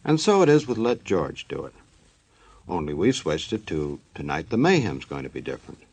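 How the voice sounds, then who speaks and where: A man's voice: low-pitched, very animated, moderate pace, darkish tone, slightly rough. A man narrating a scripted old-time radio show as announcer, in a folksy, tongue-in-cheek manner.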